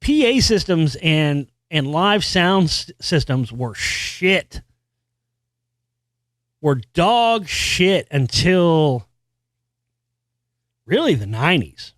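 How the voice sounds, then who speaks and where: Rambling podcast host, dry close sound. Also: male voice, very animated, drawn-out words, long pauses, a bit bright, no room sound. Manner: rambling, with restarts and casual swearing; experienced singer with a high singing voice. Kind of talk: casual solo monologue about music.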